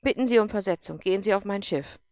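A woman reading aloud and voicing a strict, commanding female general who talks in clipped, forceful sentences. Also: mid-range voice, quick pace, no echo, close to an everyday voice. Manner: clipped, forceful, brooks no argument. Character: stern, commanding female general, middle-aged.

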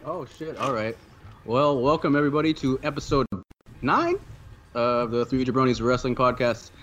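A man's voice: animated, moderate pace. A man hosting a wrestling podcast in casual, joking chat. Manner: joking, sings the show chant in a loud high voice. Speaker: podcast host. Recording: dull lo-fi sound, faint hiss.

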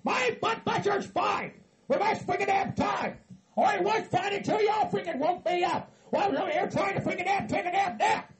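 A man voicing an angry, foul-mouthed Southern hillbilly who rants and shouts as if deeply wronged. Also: sing-song, a little fast, creaky, over a noisy crowd, full character voice. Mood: defiant, furious. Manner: hot-tempered, shouting, profane.

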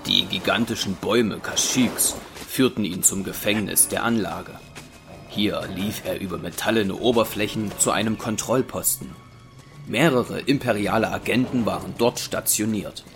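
A man's voice: fairly low pitch, moderate pace, creaky. A man narrating an audio drama as a scripted storyteller. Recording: music behind the voice.

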